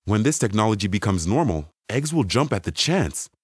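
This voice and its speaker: A man's voice: on the low side, big pitch swings, fairly quick, husky, no room sound, studio quality. A man playing an incredulous, money-minded manager in an audio story, with an astonished, questioning delivery. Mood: questioning, intrigued. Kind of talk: acted dialogue in an audio short story.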